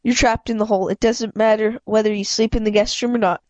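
A woman voicing a soft-spoken, calmly firm female reality police officer in a storybook, gentle and explanatory. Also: on the high side, fast talker, dry recording, lo-fi sound, lightly acted. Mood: regretful.

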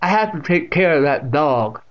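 A man voicing a stern, eerie deaf old woman of at least eighty in a storybook reading, with slow, drawn-out, commanding speech. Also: a little high, expressive, dull audio, pitched above the performer's own voice, natural voice. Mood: stern, cold.

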